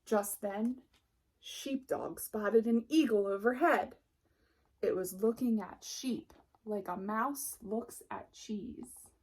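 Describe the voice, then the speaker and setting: A woman reading a children's picture book aloud as narrator, expressively and deliberately, with dramatic pauses. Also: medium pitch, darkish tone, slightly rough. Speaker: storybook reader.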